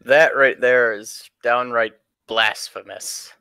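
A man's voice: mid-range voice, steady tone, slow, gravelly, pushed and bright, clear, resonant tone, no echo. A man casually telling stories to friends and a live chat as a streamer, crude and swearing heavily.

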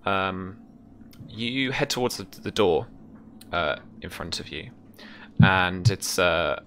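A man's voice: deep voice, lively intonation, slow, fairly bright tone, slight background noise. A man in his thirties improvising scene narration as game master, in hesitant, piecemeal phrases, close-miked on a video call. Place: small room at home with bookshelves.

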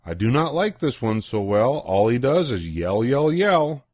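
A man's voice: mid-range voice, big pitch swings, measured pace, a little mellow, no echo, studio quality. An older man reading a children's rhyming picture book aloud in a playful, sing-song way with swooping emphasis. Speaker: storybook reader.

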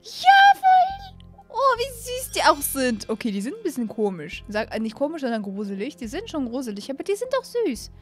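A young woman commentates a game she is playing in a high, very lively voice. She gushes, exclaims and repeats her phrases, dropping in English catchphrases. She speaks close to a desk mic, with faint music behind her. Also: normal speed. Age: young adult. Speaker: YouTube gaming host. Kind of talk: excited play-along game commentary. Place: small room at home, indoors. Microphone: desk microphone up close.

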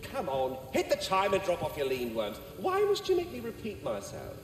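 A man's voice: sits high, wildly swooping pitch, normal speed. A man voicing a brash, irritable, taunting spectral squirrel in a video game, cocky and nagging. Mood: taunting, impatient. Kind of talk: video game character acting. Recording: echoey, distant, long reverb, music behind.

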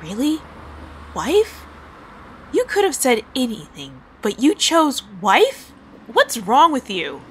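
A woman's voice: high voice, huge pitch swings, slow, a bit bright, a little hiss or hum, exaggerated voice, poor audio. A woman playing a flustered, easily irritated young assistant in an audio drama. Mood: annoyed. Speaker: voice actor.

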